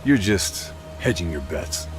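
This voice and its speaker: An actor playing a gruff, laconic wartime sniper in a video game, speaking in terse, hard-boiled lines. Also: male voice, low-pitched, expressive, unhurried, music in the background. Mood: curt, skeptical. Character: gruff, laconic male WWII special operations sniper. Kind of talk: video game cutscene acting.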